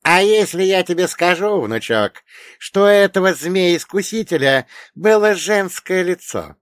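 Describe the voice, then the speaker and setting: A man voicing a wise, centuries-old dead grandfather in an audiobook, telling old lore in an emphatic, drawn-out, lecturing way. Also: high voice, expressive, dry recording, studio quality, pitched above the performer's own voice. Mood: mysterious. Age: older. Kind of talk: audiobook character voice.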